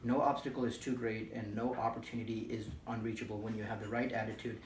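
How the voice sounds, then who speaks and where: A man's voice: on the low side, flat delivery, fast, slight background noise. A young man from Nepal, a native Nepali speaker, reading a prepared personal speech in a formal, earnest way and often restarting words. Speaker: student speaker. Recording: roomy, distant mic with faint ambience.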